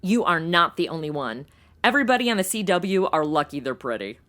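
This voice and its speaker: A woman's voice: on the low side, big pitch swings, fairly quick, a bit bright. An actress playing a breezy, scatterbrained young modern woman in a comedy audio drama, flippant and full of slang. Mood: glib, curious. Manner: flippant, slangy Valley-girl phrasing with "like" and OMG. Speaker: lead character and narrator.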